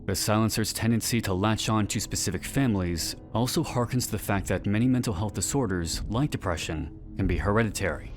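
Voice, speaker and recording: A man reading a scripted video-essay narration in a measured, earnest and solemn way. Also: fairly low pitch. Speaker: narrator of a series analysis video. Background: background music.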